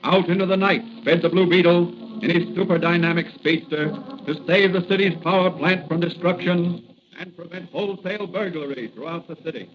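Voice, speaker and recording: An actor as the narrator of an adventure serial, telling the story with emphatic, suspenseful drama in 1940s radio style. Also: male voice, high-pitched, steady tone, fairly quick, natural voice. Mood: urgent suspense. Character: dramatic male announcer of a thrilling adventure serial. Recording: muffled, noisy vintage radio recording.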